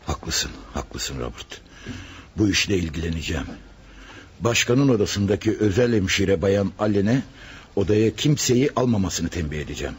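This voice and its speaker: An actor in a radio play voicing an authoritative hospital chief, grave and deliberate. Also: male voice, deep voice, lively intonation, slight background noise. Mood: grave, resolved.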